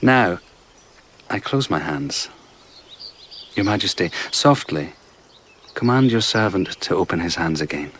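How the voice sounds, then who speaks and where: An actor playing a charming male conjurer and traveller in a radio drama, telling tales in a hushed, showman-like way. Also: sits low, expressive, unhurried, pauses often, a little hiss or hum. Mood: theatrical.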